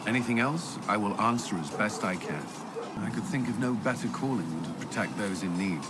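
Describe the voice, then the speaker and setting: An actor playing an earnest, reserved military commander and former templar knight in a video game, speaking in a formal, measured way. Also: male voice, on the low side, expressive, lightly acted. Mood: sober, sympathetic, then reflective. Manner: formal, measured, awkwardly hesitant when flustered. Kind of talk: video game character dialogue. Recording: loud music behind the voice. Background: music.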